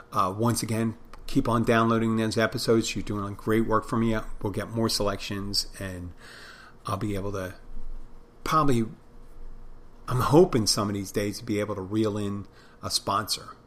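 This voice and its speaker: A middle-aged man with a Philadelphia accent hosting a podcast solo, talking casually in a meandering, self-deprecating way and trailing off mid-thought. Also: fairly low pitch. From Philadelphia, United States. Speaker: podcast host.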